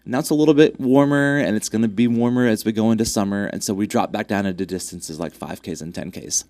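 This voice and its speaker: A man's voice: lowish voice, fast, darkish tone, no echo. A man with a congested voice, chatting upbeat about upcoming races with lots of fillers. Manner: upbeat, promotional, many fillers. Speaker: track club representative. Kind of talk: casual interview chat.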